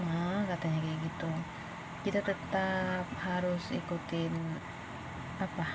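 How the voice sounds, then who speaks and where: A woman from Indonesia with an Indonesian accent, telling a story casually and chattily to her viewers as a vlogger. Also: on the low side. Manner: conversational, addresses viewers as friends. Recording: noisy, with vehicle and ambient sound.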